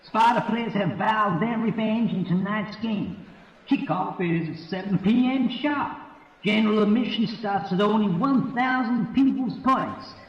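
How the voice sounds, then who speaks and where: An actor playing a hyped male stadium announcer making a loud advertising pitch. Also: unhurried. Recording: dull echoey hall sound.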